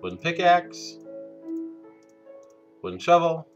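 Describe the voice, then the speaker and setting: A man hosting a game let's play, stuffed up from a cold, talking slowly with many hesitant fillers. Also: a little high, very animated, lo-fi sound, faint music behind. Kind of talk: casual gameplay commentary.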